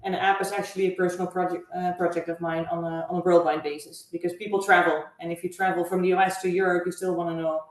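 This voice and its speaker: A woman from the Netherlands speaks English with a Dutch accent, calm and measured, pausing on small fillers as she explains. Her voice sits a little low. The recording sounds distant and roomy, with strong echo. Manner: measured, thoughtful, with frequent uh fillers. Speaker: guest, travel agency owner. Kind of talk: interview answers. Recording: echoey room, far from mic.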